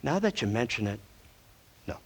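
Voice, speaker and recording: A man's voice: medium pitch, very animated, moderate pace, breathy edge, rough recording. A man acting as a smug, sardonic, ruthless American government agent in a western video game, speechifying mockingly with theatrical flair. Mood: breezy, cynical. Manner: mocking, rhetorical speechifying with chuckles.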